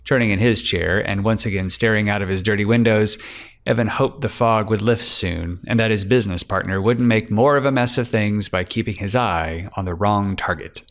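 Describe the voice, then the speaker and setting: A man's voice: lowish voice, normal speed. A man giving scripted narration for a crime fiction audiobook.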